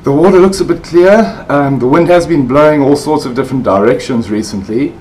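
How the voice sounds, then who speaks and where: A middle-aged man with a South African accent chats easily to an action camera set up close to him, loose and friendly, with lots of "um" and "you know". His voice sits at an ordinary middle pitch. Behind him there is a faint wash of ambient noise. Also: fairly quick, rough recording. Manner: relaxed, chatty, with fillers. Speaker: fishing vlogger. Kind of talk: casual vlog to camera. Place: outdoor covered balcony, then an indoor living room.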